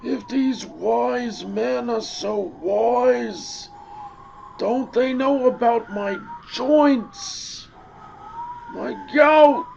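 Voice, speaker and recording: A woman voicing a proud, grumbling old male camel in a storybook, complaining in a drawn-out way. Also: on the high side, creaky, a little mellow, highs cut off, slight background noise, pitched above the performer's own voice, heavily acted.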